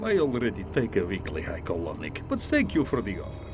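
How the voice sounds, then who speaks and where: A man's voice: deep voice, wildly swooping pitch, a little fast, gravelly, music in the background, full character voice. An actor playing a grandiose, gloating video game villain, theatrical, pompous and condescending in the way he monologues. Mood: smug menace. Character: gloating, grandiose male villain with fiendish schemes. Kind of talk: video game cutscene acting.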